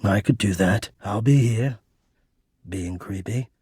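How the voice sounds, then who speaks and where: A household climate system hopelessly in love, in a low, very husky and breathy male voice recorded close and dry. He is shy and devoted, with halting, formal phrasing. The delivery is intimate, dramatic game romance. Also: a little mellow, no echo, full character voice. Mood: intimate, enamored. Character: shy, devoted, lovesick male home heating-and-cooling system.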